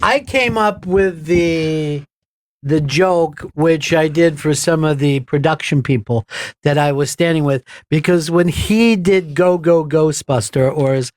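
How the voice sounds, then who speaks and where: A man hosting a radio talk show, telling a rambling story with halting restarts into a studio microphone. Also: a little high. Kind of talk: casual radio chat.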